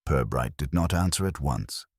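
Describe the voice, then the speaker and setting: A man's voice: extremely low pitch, expressive, moderate pace, husky, no echo, studio quality. A man reading a literary mystery story as scripted narration. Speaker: audiobook narrator.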